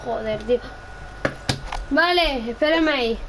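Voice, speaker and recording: High-voiced female gamer over clear noise. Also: measured pace. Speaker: gamer playing co-op. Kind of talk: casual gaming chatter. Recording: clearly noisy home gaming recording.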